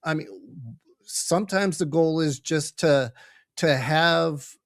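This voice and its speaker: Halting, earnest man in a dry-sounding recording. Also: on the high side, no room sound. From United States. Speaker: podcast guest, family caregiver. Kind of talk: personal interview talk, earnest.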